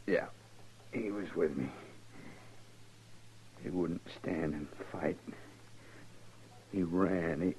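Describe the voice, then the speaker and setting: An actor playing a dying, wounded outlaw in a radio western, bitter and defiant, halting and labored, with rural dialect. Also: male voice, deep voice, darkish tone, slight background noise, poor audio. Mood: bitter, failing. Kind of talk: old-time radio western acting.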